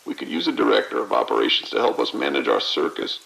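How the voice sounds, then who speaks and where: A man's voice: rumbling low, big pitch swings, fast talker, creaky, fairly bright tone, exaggerated voice, poor audio. A man playing a weary, overwhelmed but friendly police officer in an audio drama, talking informally with exasperation. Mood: harried, friendly. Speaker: police officer at operations desk. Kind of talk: audio drama acting, phone call.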